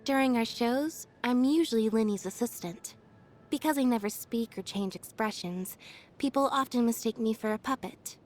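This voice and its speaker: A woman voicing an aloof, quiet young female magician's assistant in a video game, deadpan, reserved and understated. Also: a little high, lightly acted. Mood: calm, secretive. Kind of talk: video game character voice lines.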